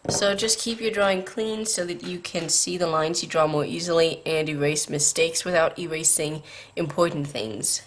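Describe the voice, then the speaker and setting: Low-voiced woman with a tired, scratchy sound. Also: steady tone, normal speed. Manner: patient, beginner-friendly explaining. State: tired, scratchy voice from staying up late. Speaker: drawing tutorial host. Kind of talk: step-by-step drawing lesson.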